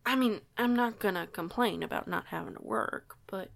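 A woman's voice: medium pitch, normal speed, gravelly, fairly bright tone. A woman playing a shy young female werewolf newcomer in an audio roleplay, hesitant, folksy and polite. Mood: hesitant, worried.

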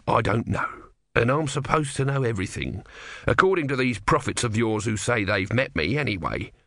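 A man's voice: mid-range voice, a bit bright, no room sound, studio quality, voice pushed up. A man voicing a grumpy small god trapped as a tortoise, evasive and sardonic, in a storybook reading.

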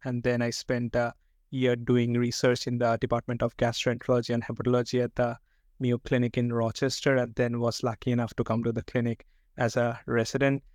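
A man's voice: mid-range voice, monotone, brisk, darkish tone, no room sound, studio quality. A man in his thirties from India, a medical resident introducing himself in a matter-of-fact way. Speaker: resident guest. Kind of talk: podcast self-introduction.

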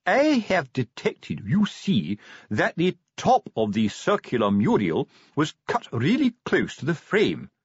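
A man's voice: a little high, wildly swooping pitch, a little slow, slightly rough, dry recording, voice pushed up, exaggerated voice. Guy doing a pompous thick copper, middle aged, lecturing away, voice goes up and down loads, bit muffled. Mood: pompous explaining. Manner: pompous, explanatory, tag questions. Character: self-important, slow-witted middle-aged male police sergeant, lecturing.

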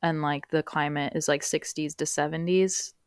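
Low-voiced young woman, casual rambling. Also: steady tone, moderate pace, smooth, dry recording, clean studio sound. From United States. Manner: rambling, uses many fillers and "like". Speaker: podcast guest. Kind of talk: casual conversational interview.

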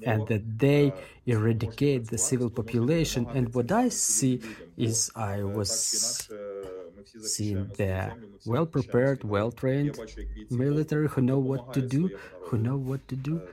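Measured man over crowd noise. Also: medium pitch, steady tone. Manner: measured, with restarts and repeated phrases. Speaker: interviewee's voice in English translation. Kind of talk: translated interview answers.

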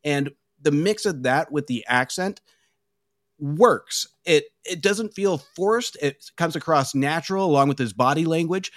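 A man's voice: a little high, measured pace, fairly bright tone, no echo, studio quality. A man guesting on a podcast, talking through a film in a thoughtful, casual way.

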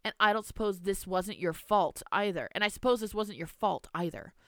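Stern, weary older grandmother, voiced by the narrator, clear tone. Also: mid-range voice, normal speed, a bit bright, no room sound, studio quality, natural voice.

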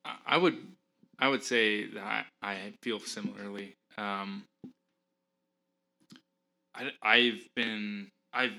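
A man's voice: lowish voice, fairly even intonation, slow, creaky, pauses often, pushed and bright. A man appearing as a podcast guest, answering thoughtfully with hesitant restarts. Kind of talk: casual conversation.

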